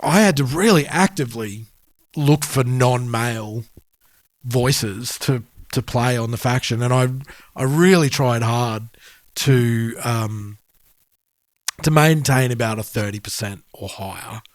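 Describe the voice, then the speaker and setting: A man's voice: mid-range voice, a little slow, no echo. Aussie guy podcast cohost, chatty and matey, really dry recording. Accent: Australian. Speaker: music podcast co-host. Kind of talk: casual chat.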